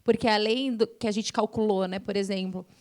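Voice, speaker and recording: A woman's voice: medium pitch, steady tone, a little fast, a little mellow. A woman with a Brazilian accent explaining environmental science as a panelist at a forum, often adding small tag questions as she goes.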